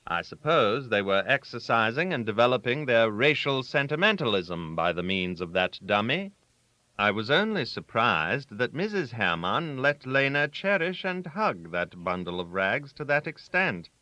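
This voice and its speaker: A man narrating a literary story aloud, with a theatrical, wry manner and deliberate emphasis on key words. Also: medium pitch, big pitch swings, sharp tone, no room sound, dull audio. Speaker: audiobook narrator.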